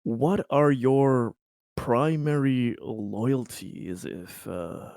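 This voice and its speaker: A man playing a tabletop roleplaying game, talking casually in halting, tentative phrases as he describes his character's actions. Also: medium pitch, gravelly, darkish tone, no echo, clean studio sound.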